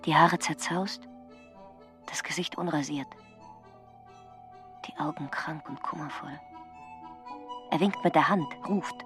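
Eine Schauspielerin spielt im Hörspiel eine sehnsüchtige, gequälte Frau, die sich an Hoffnung klammert, in vertraulichem, teils geflüstertem inneren Monolog. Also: female voice, deep voice, slow, long pauses, music in the background. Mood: wistful, resolute. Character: yearning, anguished woman clinging to hope. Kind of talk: radio drama acting, inner monologue.

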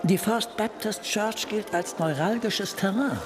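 An actor playing a brash, foul-mouthed, cynical police detective in a radio crime drama, sardonic and coarse. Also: male voice, high voice, measured pace, noisy background.

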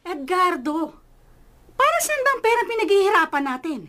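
Casting: indulgent radio-drama mother, very high voice, lively swings, emotive and defensive. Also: moderate pace, heavy background noise. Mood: hurt, defensive. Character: doting, indulgent, defensive mother of a grown son. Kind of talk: Filipino radio drama acting.

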